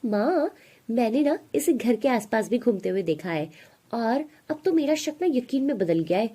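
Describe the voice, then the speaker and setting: A woman's voice: on the high side, animated, moderate pace, mellow, husky. A woman voicing a suspicious, spoiled young stepsister in a moral story, insinuating as she tattles.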